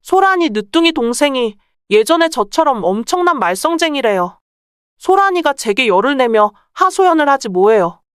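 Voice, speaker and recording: Woman acting clever young merchant's daughter, folktale drama, high-pitched, polite, persuasive, close dry sound. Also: very animated, moderate pace, no room sound. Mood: persuasive, pleased. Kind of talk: acted Korean folktale dialogue.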